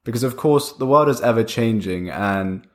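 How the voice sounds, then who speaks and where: A young man hosting his own podcast, talking through ideas in a relaxed, conversational way while he has a cold. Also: medium pitch, moderate pace. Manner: conversational, thinking aloud with fillers and restarts. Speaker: podcast host. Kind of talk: casual solo podcast monologue.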